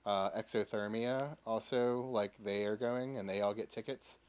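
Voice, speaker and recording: Low-voiced man chatting as podcast guest. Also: steady tone, normal speed, a little mellow, smooth. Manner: informal, peppers talk with "like". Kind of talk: casual podcast chat.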